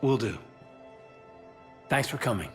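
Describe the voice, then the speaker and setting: An actor playing an earnest, conscientious injured soldier in a video game, with a sincere, slightly hesitant way of talking. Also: male voice, mid-range voice, lively intonation, slow pace. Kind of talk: video game cutscene dialogue. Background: music.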